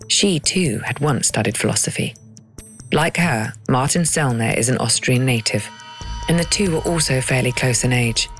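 A woman narrating and hosting a documentary podcast in a crisp, dramatic storytelling manner. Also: extremely low pitch, moderate pace, soft background music. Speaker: narrator and host.

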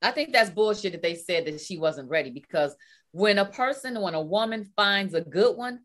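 A woman's voice: medium pitch, expressive, moderate pace, a bit bright. A woman co-hosting a casual panel talk show, speaking candidly and bluntly.

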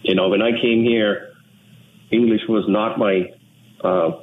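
A man from Sri Lanka, an English speaker, talking in an earnest, measured way as an interview guest. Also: fairly low pitch, steady tone. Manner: measured, earnest, with frequent restarts. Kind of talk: reflective interview talk. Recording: tinny phone line with faint noise.

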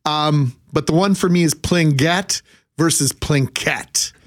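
Higher-pitched Canadian man, radio co-host. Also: takes it slowly. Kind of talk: casual radio banter.

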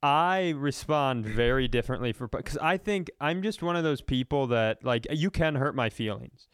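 A man's voice: mid-range voice, lively intonation, moderate pace, clean tone, dry recording. A man talking as a comedian podcast host in casual banter, rambling and riffing with plenty of swearing. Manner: rambling, riffing, profane storytelling.

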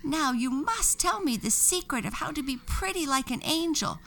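A woman's voice: high voice, animated, moderate pace, gravelly, fairly bright tone, voice pushed up, full character voice. A woman voicing a furious, jealous young witch girl who screeches and scolds in a storybook reading.

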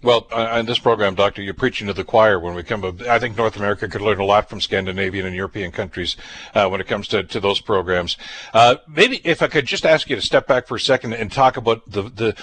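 A man's voice: lowish voice, a little fast, a bit bright. A man hosting a broadcast interview, putting wordy, roundabout questions in a conversational way. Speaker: radio host interviewer.

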